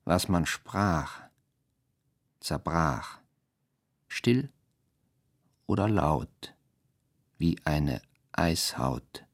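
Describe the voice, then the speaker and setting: A man reciting experimental poetry in a radio play, in halting, fragmented, stylised phrases. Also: low voice, breathy edge.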